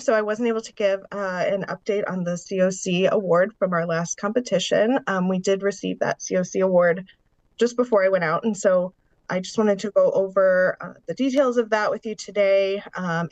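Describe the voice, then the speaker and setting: A woman's voice: medium pitch, no echo. A congested woman presenting a funding update at a public meeting, explaining figures and programs step by step over a video call. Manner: steady, explanatory walk-through of figures and programs. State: congested, under the weather. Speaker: staff presenter at a county board meeting.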